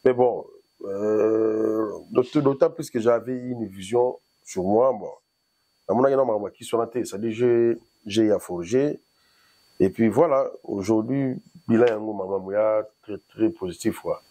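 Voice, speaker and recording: Husky, mellow man from Congo. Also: on the low side, a little slow. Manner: loose, rambling, switches between French and Lingala. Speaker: guest musician. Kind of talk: casual interview chat. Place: in Paris.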